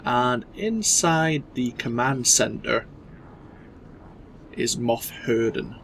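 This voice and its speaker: Host, male, a bit clogged with cold; mid pitch, relaxed talk over faint game noise. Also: extremely slow, creaky. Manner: relaxed, explanatory. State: stuffy with a cold. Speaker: let's play host. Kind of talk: casual gameplay commentary.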